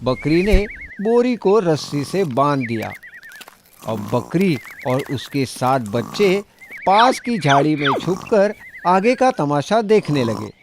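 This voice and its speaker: A man narrating a scripted children's story in an expressive, dramatic storytelling manner. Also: a little high, slow pace, background noise.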